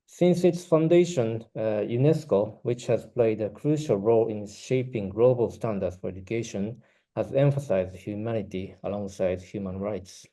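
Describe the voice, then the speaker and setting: A man with a Japanese accent, whose first language is Japanese, giving a prepared academic talk as a researcher, reading carefully with brief pauses. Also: lowish voice, warm tone.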